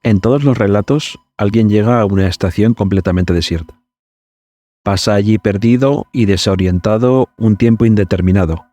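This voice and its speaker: A man narrating a script in a measured, explanatory, documentary-like way. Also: fairly low pitch, warm tone, no room sound.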